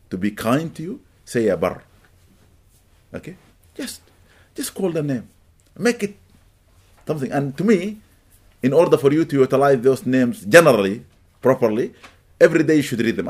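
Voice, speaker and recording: Brief: middle-aged Arabic-speaking man, animated religious lecture, mid-pitched voice with emphatic peaks. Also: husky. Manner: animated, rising to emphatic peaks, welcomes latecomers mid-talk. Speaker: religious teacher. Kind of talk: lecture to a live audience.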